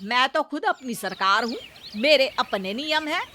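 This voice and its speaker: A woman's voice: high voice, animated, normal speed, pushed and bright, slight background noise. A woman voicing a stubborn, hot-tempered older village mother-in-law in a cartoon story, brusque and scolding, with rustic turns of speech. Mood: defiant, snappy. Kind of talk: acted children's cartoon story.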